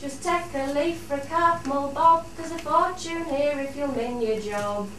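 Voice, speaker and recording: Female ballad singer, Cumbrian dialect, high voice, strong echo, set back from the mic. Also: measured pace, fairly bright tone. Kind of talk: dialect folk ballad singing with refrain. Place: studio theatre. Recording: very echoey, distant, faint noise.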